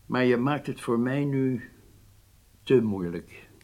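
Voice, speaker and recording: An actor playing a senior Israeli statesman in a Dutch radio drama, deliberate and persuasive, conciliatory but firm. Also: medium pitch, lively intonation, a little mellow. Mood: measured, reluctant.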